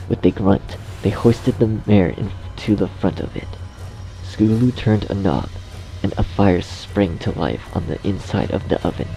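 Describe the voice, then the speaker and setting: A man's voice: on the low side, creaky, warm tone, poor audio. A man reading scripted horror story narration as the narrator. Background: music.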